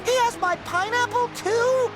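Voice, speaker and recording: A man voicing an eager, childlike, naive talking sea sponge in an animated game cutscene, earnest and excitable. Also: very high voice, unhurried, loud music behind the voice. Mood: concerned, indignant.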